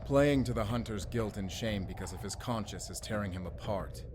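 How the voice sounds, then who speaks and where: A man's voice: fairly low pitch, normal speed, over music, natural voice. A man playing a shrewd, fair-minded councillor in a fantasy audio drama, reasoned and wry. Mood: firm.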